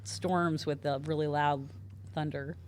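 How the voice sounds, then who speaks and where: A woman's voice: sits low, steady tone, unhurried, a little mellow, smooth. A woman in her forties, congested, chatting casually as a podcast co-host. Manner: chatty, laughs easily. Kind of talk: casual chat.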